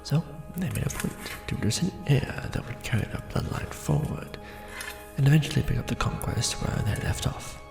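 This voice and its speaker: A man playing a courtly, ancient vampire lord in an ASMR roleplay, speaking intimately and formally in a gravelly, often breathy half-whisper with measured pauses. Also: mid-range voice, expressive. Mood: explaining, solemn. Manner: formal, archaic wording, measured delivery with pauses. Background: music.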